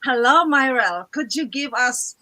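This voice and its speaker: A woman's voice: sits high, lively intonation, unhurried, pushed and bright, poor audio. A woman hosting an online panel, introducing guests in a formal way and calling them sis. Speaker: host.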